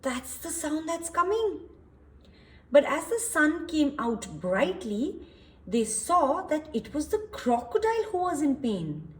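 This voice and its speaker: Lady reading a kids story, high voice, slow and explaining everything, asks lots of questions. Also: big pitch swings, poor audio. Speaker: children's storyteller. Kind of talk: expressive read-aloud for young children.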